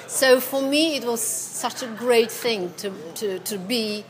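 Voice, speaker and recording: A woman with a Polish accent, an actress chatting as a guest in a casual pub interview, animated and quick to laugh. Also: a little high. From Poland. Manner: animated, laughs often, acts out quotes. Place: busy pub. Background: crowd chatter.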